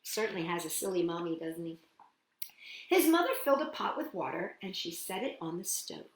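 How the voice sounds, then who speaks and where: A woman hosting a children's storytime, reading and singing in a playful, expressive way with drawn-out words and chatty asides. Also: lowish voice, echoey room. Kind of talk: animated picture-book read-aloud and children's singing.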